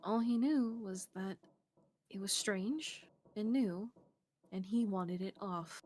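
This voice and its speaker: A woman's voice: medium pitch, takes it slowly, darkish tone. A woman streamer reading a visual novel's narration aloud, with casual asides. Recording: faint background noise.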